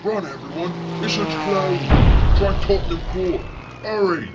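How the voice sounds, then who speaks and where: An actor playing an angry working-class London man with a Cockney accent in a period audio drama, rough and belligerent in delivery. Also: a little high, measured pace, close to an everyday voice. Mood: urgent, alarmed. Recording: muffled, with clear background noise.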